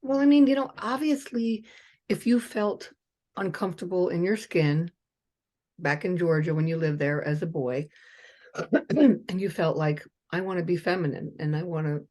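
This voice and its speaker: A woman's voice: fairly low pitch, normal speed, warm tone. A woman in her fifties hosting a casual chat, blunt and chatty, swearing freely and reeling off lists. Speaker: host.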